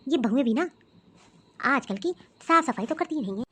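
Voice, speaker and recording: Woman doing a bossy old mother-in-law, high voice, scolding and ordering around. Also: animated, normal speed, breathy edge. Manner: scolding, commanding, grumbling to herself. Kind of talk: family skit acting.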